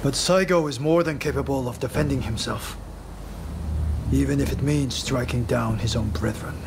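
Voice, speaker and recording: A man voicing an earnest, composed samurai retainer in a video game, speaking in a measured, formal, solemn way. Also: medium pitch, gravelly, noisy background, lightly acted. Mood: solemn, explanatory. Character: earnest, composed male samurai retainer, loyal to his comrade. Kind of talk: dramatic video game voice acting.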